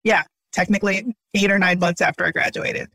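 A woman's voice: mid-range voice, fairly even intonation, a little fast, sharp tone, dry recording. Earnest woman, dry close sound. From Brooklyn, New York. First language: Haitian Creole. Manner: reflective, earnest, laughs easily. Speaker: startup founder, guest. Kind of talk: conversational interview.

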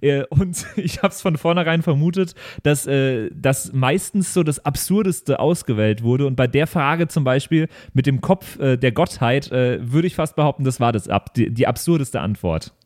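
A man's voice: medium pitch, expressive, normal speed, hardly any pauses, a little mellow, no echo. Enthusiastic male co-host, dry recording. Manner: enthusiastic, repeats words for emphasis. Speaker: podcast co-host. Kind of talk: casual podcast chat.

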